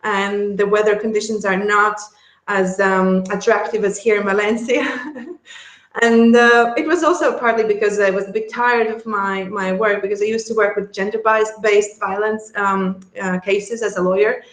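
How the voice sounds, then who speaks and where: A woman's voice: medium pitch, fairly even intonation, moderate pace, roomy sound. A woman from Latvia with a Latvian accent, a guest chatting casually in an interview, laughing often and restarting her sentences. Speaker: guest, relocation service founder.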